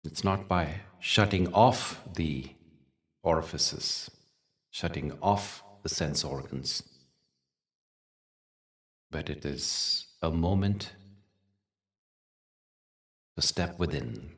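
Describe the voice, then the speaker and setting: Very deep-voiced man guiding meditation slowly. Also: distant mic. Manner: unhurried, gentle, with long pauses between short phrases. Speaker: meditation teacher. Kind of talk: guided meditation with spiritual talk.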